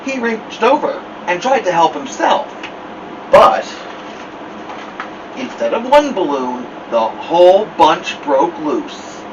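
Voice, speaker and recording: A man reading a children's picture book aloud as a storytime host, theatrically, with drawn-out words and dramatic pauses. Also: high voice, animated. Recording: distant mic, dull sound, noisy background hum.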